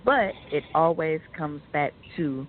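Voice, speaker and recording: A woman co-hosting a podcast, giving opinions in a casual, deliberate, emphatic way on a muffled, noisy recording. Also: low-pitched, animated. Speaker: podcast co-host.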